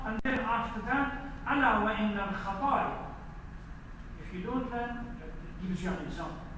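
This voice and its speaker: High-pitched male preacher in an echoey hall. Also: fairly bright tone. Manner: didactic, questions the audience directly. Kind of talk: sermon lecture to a congregation. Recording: echoey hall, distant mic, faint noise.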